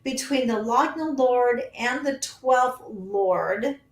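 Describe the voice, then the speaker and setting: A woman's voice: on the high side, slow, roomy sound. A woman hosting her own astrology livestream, chatting casually with many ums and reading out viewer comments while she is losing her voice.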